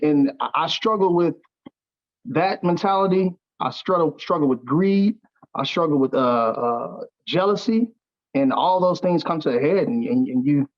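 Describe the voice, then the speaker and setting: Higher-voiced man giving halting, earnest answers. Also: lo-fi sound. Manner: earnest, halting with repeated words. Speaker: stage actor interviewed as a guest. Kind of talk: conversational interview answer.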